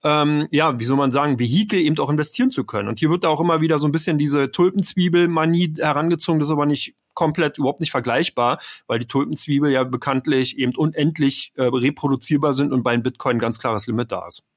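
A man's voice: a little high, fast, few pauses, no room sound, studio quality. A middle-aged man from Berlin, a trading expert being interviewed, explaining at length in a rambling, conversational way with many fillers. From Berlin, Germany.

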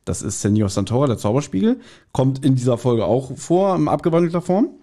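A man's voice: mid-range voice, very animated, brisk, dark tone. A man hosting a podcast, casually talking through background details of audio dramas. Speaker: podcast host.

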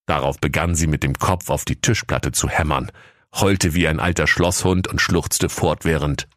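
A man's voice: rumbling low, steady tone, normal speed, fairly bright tone, no room sound, clean studio sound. A man narrating a scripted comic fairy tale as a mock-solemn storyteller.

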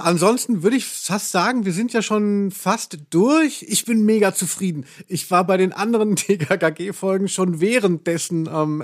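A man hosting a podcast in casual chat, rambling in a halting, wry way. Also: high-pitched. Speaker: podcast host.

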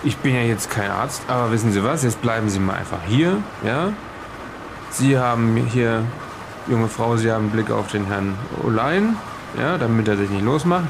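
A man playing a calm, practical ship's captain in an improvised audio drama, halting and repeating himself as he reassures people. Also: mid-range voice, noisy background, lightly acted.

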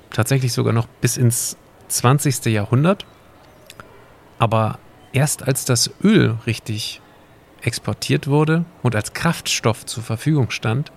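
A man playing a calm, knowledgeable time traveler in an amateur audio drama, explaining things conversationally. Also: mid-range voice, creaky, slight background noise.

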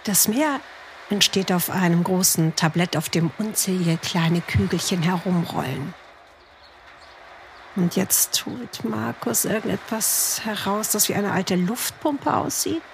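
Female podcast host, mid-pitched voice, chatty and teasing, some background noise. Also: a little slow. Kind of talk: conversational interview with narrated passages.

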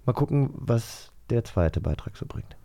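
A man's voice: medium pitch, animated, measured pace, mellow, soft background music. A man hosting a podcast in a casual, jokey interview style. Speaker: podcast host.

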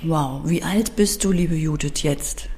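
A woman hosting a podcast, asking interview questions in a soft, unhurried, admiring way. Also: sits low. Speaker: podcast host.

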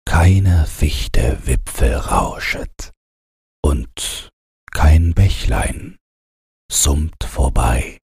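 A man reading a bedtime storybook as an audiobook narrator, with a gravelly, husky voice and measured, drawn-out phrasing. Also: a bass voice, animated, darkish tone, dry recording, clean studio sound. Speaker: audiobook reader.

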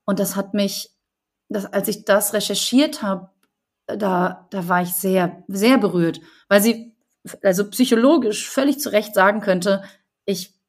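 Earnest woman author telling stories. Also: medium pitch. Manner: earnest, thoughtful, many fillers and restarts. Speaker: correspondent and book author, guest. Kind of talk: conversational podcast interview.

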